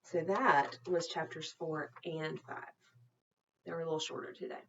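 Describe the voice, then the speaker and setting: A woman reads a children's novel aloud in a fairly low voice. Her delivery is expressive and deliberate, like a storytime reader. The recording is a little dull and lo-fi. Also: a little hiss or hum.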